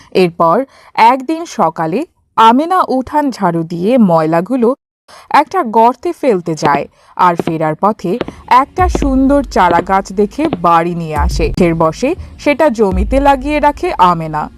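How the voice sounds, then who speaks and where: A woman narrating a scripted cartoon story in an expressive storytelling style. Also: mid-range voice, a little slow, slight background noise.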